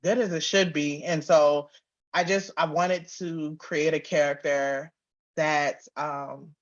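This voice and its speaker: A woman's voice: low voice, steady tone, slow, muffled recording. A woman giving casual, candid interview answers as a guest author, chatty and emphatic. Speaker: guest, author and audio drama writer-producer.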